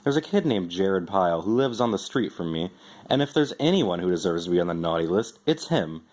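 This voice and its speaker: A man with a fairly low voice giving an expressive amateur storybook reading in the first person. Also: a little fast. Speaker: amateur reader.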